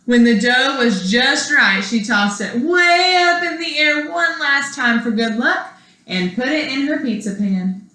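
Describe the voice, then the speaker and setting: Bright-voiced woman reading a storybook aloud. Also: high-pitched, measured pace. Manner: expressive, asks young listeners questions. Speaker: schoolteacher reading to her students. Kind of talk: children's storybook read-aloud. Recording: echoey room.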